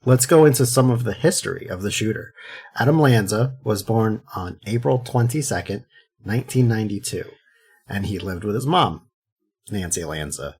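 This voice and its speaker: A man's voice: on the low side, expressive, normal speed, darkish tone, slightly rough. A man in his thirties co-hosting a podcast, joking around and then going through background facts. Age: 30s. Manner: goofy, joking. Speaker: podcast co-host. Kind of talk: casual chat and reading history.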